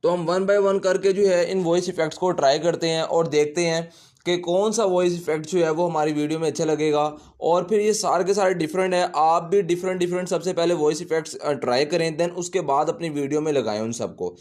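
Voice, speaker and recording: Higher-pitched man giving a tutorial. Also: a little fast, darkish tone. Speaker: tutorial host, teacher. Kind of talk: casual step-by-step app tutorial.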